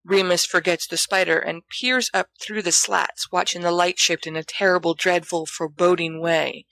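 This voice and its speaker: A woman's voice: fairly low pitch, fairly even intonation, normal speed, creaky, a bit bright, no room sound, poor audio. A woman reading scripted fiction aloud as an audiobook narrator. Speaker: audiobook reader.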